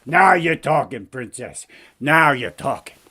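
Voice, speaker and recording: A brash, slow-drawling wisecracking frog. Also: male voice, medium pitch, animated, sharp tone, breathy edge, full character voice. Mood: cheeky. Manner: slangy, brash, drawn-out words. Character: wisecracking, pushy male frog with street-guy swagger.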